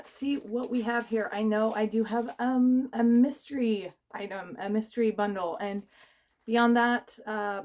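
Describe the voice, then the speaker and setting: A woman's voice: mid-range voice, a little hiss or hum. A young woman with a cold chatting casually as she unboxes items, rambling with many fillers and restarts. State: has a cold, losing her voice. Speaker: vlogger.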